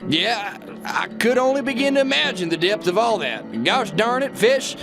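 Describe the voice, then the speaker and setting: A man's voice: very high-pitched, very animated, normal speed, over music, heavily acted. A man acting a folksy, blustering, dim-witted boss in a satirical radio drama. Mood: folksy, complacent.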